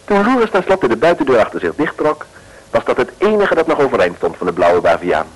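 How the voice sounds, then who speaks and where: A man's voice: mid-range voice, sing-song, a little fast, husky, rough recording. A man narrating an old radio play in a wry, chatty way.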